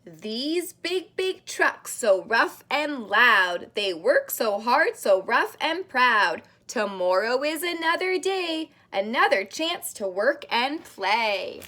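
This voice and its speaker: A woman reading a picture book aloud to young children like a daycare teacher, in an expressive, sing-song way with drawn-out words. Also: fairly high pitch. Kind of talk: animated read-aloud of a children's storybook.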